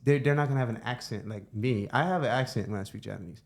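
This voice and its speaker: A man's voice: mid-range voice, quick pace, creaky. Reflective man hosting a home-studio chat. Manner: relaxed, reflective, thinks aloud with restarts. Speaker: podcast host. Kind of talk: casual conversation.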